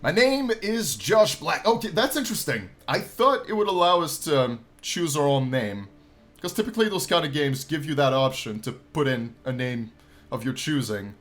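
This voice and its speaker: Animated higher-voiced man commentating a game. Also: moderate pace. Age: 20s. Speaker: gaming channel host. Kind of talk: game playthrough commentary, reading story text aloud.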